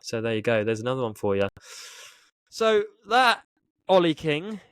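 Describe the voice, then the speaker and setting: A man's voice: mid-range voice, very animated, moderate pace, clean tone, no echo. A man co-hosting a sports podcast in a casual, chatty style. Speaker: podcast co-host.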